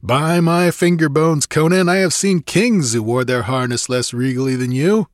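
A man's voice: fairly high pitch, moderate pace, no room sound, studio quality, voice pushed up, close to an everyday voice. A man voicing a large, blunt, hearty and roguish mercenary commander in a fantasy story. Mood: admiring.

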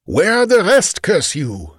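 A man's voice: mid-range voice, big pitch swings, a little slow, no room sound, studio quality, voice pushed up. A man voicing a ruthless, cunning male bandit chief who snarls commands. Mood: raging.

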